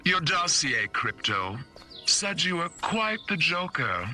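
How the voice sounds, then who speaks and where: An actor playing a dry, guarded general in a video game, a knowing adversary who speaks deliberately. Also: male voice, on the high side, big pitch swings, sharp tone, exaggerated voice. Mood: coolly taunting. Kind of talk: video game cutscene acting. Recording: music under the voice.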